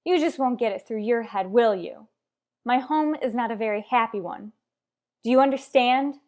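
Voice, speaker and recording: A woman's voice: a little high, moderate pace, a little mellow, lightly acted. Anxious young wife in a radio soap played by a woman. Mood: hurt, defensive. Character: anxious, unhappily married young woman, defensive with family. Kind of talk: radio soap drama acting.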